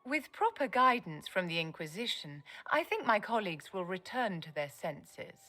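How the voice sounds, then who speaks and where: An actress playing an aristocratic court enchantress in a video game, speaking in a formal, measured, condescending way over music. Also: female voice, medium pitch, sing-song, bright, forceful tone. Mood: poised, imperious. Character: poised, aristocratic court enchantress, haughty and self-assured. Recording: music under the dialogue.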